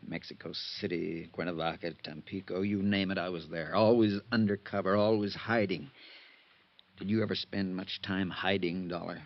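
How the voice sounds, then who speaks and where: An actor playing a jittery, worn-down embezzler on the run, edgy and bitter, in 1950s radio drama. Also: male voice, deep voice, fairly even intonation, moderate pace. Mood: bitter, weary. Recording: muffled old radio recording.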